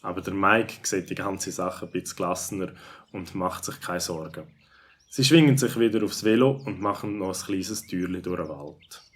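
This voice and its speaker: Low-voiced Swiss male storyteller. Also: moderate pace, slightly rough. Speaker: narrator. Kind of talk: scripted children's story narration.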